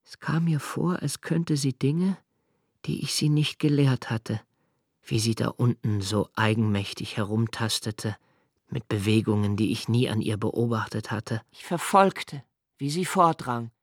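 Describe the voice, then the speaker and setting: A middle-aged man giving a quiet, measured, inward first-person narration in a literary radio play, recorded in a studio. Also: mid-range voice, slightly rough, dry recording.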